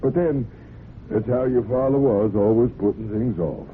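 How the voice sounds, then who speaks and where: A man's voice: medium pitch, normal speed, lightly acted. An actor playing a genial, pushy horseman, folksy and insistent, in an old-time radio drama. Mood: genial, coaxing. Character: persistent, cocksure horseman, genial but pushy buyer. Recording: muffled, noisy old radio broadcast.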